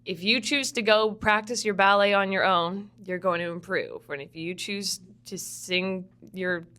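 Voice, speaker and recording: A woman's voice: mid-range voice, a little slow, sharp tone. A young woman from Vancouver, a musical theatre student, chatting casually as a podcast guest, laughing often and filling her talk with "like". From Vancouver, Canada. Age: young adult. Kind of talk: casual interview chat.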